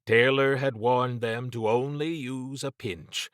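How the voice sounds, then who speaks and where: A man reads suspense fiction as an audiobook narrator. His pace is slow and deliberate, his pitch sits in the middle, and the close studio recording is very dry. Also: steady tone, fairly bright tone, smooth, no room sound. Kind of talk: scripted dramatic fiction narration.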